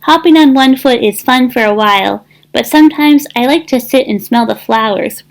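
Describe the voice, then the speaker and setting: A woman voicing a mild, weary young male goose in a storybook, gentle and a little plaintive. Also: fairly high pitch, normal speed, close to an everyday voice. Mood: wistful.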